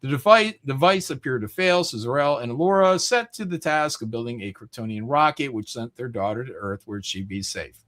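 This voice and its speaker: A man hosting a livestream, chatting casually and reading aloud haltingly, with wry, sweary asides. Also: mid-range voice, animated, fairly bright tone. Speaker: livestream host.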